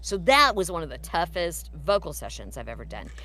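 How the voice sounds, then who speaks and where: Chatty voice actress, low voice. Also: female voice, very animated, a little fast. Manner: chatty, warm storytelling with fillers. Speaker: voice actress guest. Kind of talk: casual live Q&A.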